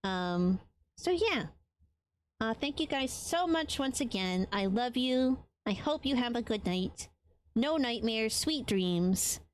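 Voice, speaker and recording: A woman from Latin America wrapping up a long game stream, her voice sitting a little higher than most. She talks casually and warmly to her viewers, often filling gaps with ums. Her voice sounds tired after hours of talking. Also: lively intonation, takes it slowly. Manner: chatty and affectionate, frequent ums. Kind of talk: casual chat with live viewers.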